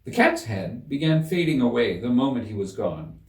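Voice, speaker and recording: A man narrating a children's story as an audiobook reader, in an expressive storytelling style. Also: mid-range voice, moderate pace. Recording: roomy echo, slightly distant mic.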